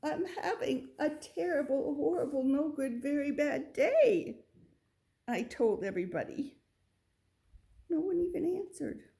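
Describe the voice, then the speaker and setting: Woman, children's book reader, slow and expressive, lightly higher voice, asides to listener. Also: vocal fry. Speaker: storybook reader. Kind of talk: children's picture book read-aloud.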